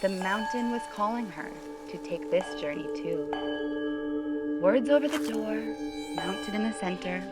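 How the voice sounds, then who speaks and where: A woman reading a children's story aloud in an expressive, dramatic way, speaking to young listeners. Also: medium pitch, measured pace. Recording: music behind the voice.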